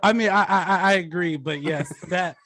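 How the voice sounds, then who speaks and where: Bright, higher-pitched male debate show host. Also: normal speed, no room sound. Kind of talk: casual debate judging.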